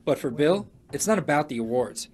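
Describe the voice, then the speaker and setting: A man's voice: on the high side, animated, a little fast. An American man reading scripted narration as a television news reporter.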